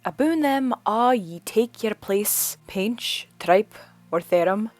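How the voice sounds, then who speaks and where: An American woman reciting an old Scots poem in a put-on Scottish accent, slow and declamatory. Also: medium pitch, expressive, darkish tone, faint music behind. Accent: American woman attempting a Scots accent. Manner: slow, deliberate, declamatory reading. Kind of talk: poetry recitation.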